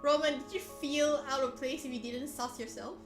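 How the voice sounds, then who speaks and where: A woman's voice: sits high, normal speed. A woman hosting a casual live stream chat, talking to viewers in a playful, teasing, giggly manner. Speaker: livestream host. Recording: echoey room, music behind voice. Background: soft background music.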